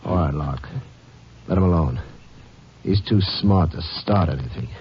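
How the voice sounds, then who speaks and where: A man's voice: low voice, animated, a little slow. An actor playing a ruthless gang-boss gunman in a 1950s radio drama, giving curt, threatening orders. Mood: cold menace. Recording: dull 1950s radio broadcast, faint hiss.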